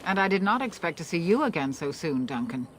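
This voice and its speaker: Grave elven clan elder, measured. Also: female voice, on the low side, big pitch swings, slight background noise. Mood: composed, grave. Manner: measured, formal, authoritative. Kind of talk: fantasy video game dialogue.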